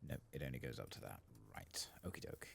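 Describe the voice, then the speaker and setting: A man giving soft-spoken, partly whispered ASMR commentary on a video game, muttering hesitantly as he thinks aloud. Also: very deep voice, fast talker, vocal fry. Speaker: host of a video game playthrough channel.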